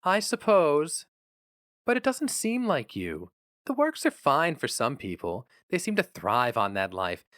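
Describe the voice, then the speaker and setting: A man voicing a worried young wife who speaks hesitantly, affectionately and with gentle pleading. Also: a little high, huge pitch swings, unhurried, no room sound, studio quality, pitched above the performer's own voice, full character voice. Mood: worried, doubtful.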